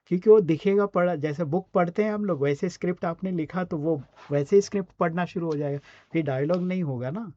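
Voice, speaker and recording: A man's voice: high voice, fast, hardly any pauses, warm tone, no room sound, highs cut off. A man with an Indian accent coaching in a conversational, explanatory way, often checking in with short questions. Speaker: voice and radio scriptwriting coach. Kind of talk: conversational online teaching.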